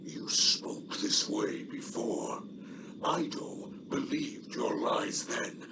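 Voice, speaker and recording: An actor playing a stern, grieving alien leader in a video game cutscene, grave and formal with a breathy growl. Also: male voice, drawn-out words, recorded from a distance, background noise. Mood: cold, wounded.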